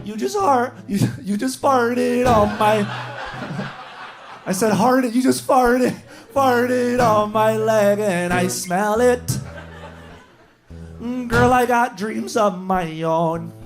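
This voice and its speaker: A male comedian singing comic songs over a guitar and breaking into mock shouts between lines. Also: sits high, very slow. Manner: half-sung bits, mock shouting. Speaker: comedian and show host. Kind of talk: musical stand-up with guitar.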